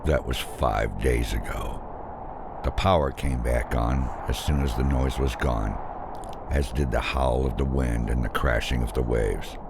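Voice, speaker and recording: A man narrating a scripted first-person horror story in an ominous, deliberate way. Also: extremely low pitch, gravelly, noisy background.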